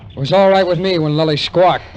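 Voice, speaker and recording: A man's voice: fairly high pitch, brisk, a little mellow, lightly acted. Man acting a hard-boiled American adventurer, muffled radio. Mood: weary, wry. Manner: terse, wry, clipped storytelling. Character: weary hard-boiled adventurer Johnny, wary and tempted. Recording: muffled vintage radio recording with music.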